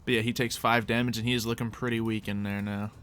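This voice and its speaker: Man, game master, bright forceful voice, playful descriptive narration, tabletop roleplay. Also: lowish voice, little pitch movement, quick pace, slight background noise.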